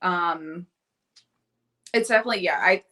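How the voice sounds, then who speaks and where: A woman with a Baltimore accent co-hosting a podcast in casual chat, rambling with many "like"s and quick self-corrections. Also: lowish voice, bright, forceful tone. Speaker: podcast co-host.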